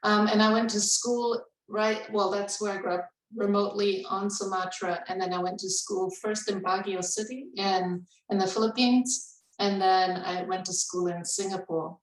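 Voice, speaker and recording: A woman's voice: mid-range voice, steady tone, moderate pace. A woman who grew up in Indonesia speaks as a cultural humility trainer in a webinar, with a reflective manner and easy laughs. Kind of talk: webinar conversation. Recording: roomy, distant mic.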